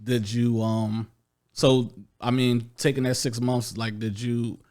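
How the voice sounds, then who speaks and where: A man's voice: medium pitch, little pitch movement, slow, a little mellow. A man hosting a podcast who asks questions in a loose, rambling way, restarting them as he goes. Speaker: podcast host. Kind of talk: casual interview.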